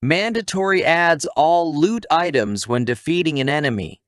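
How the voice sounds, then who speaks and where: A man's voice: a little high, animated, moderate pace, fairly bright tone, no echo, clean studio sound. A man narrating a humorous scripted story recap in a playful, theatrical way, addressing listeners directly.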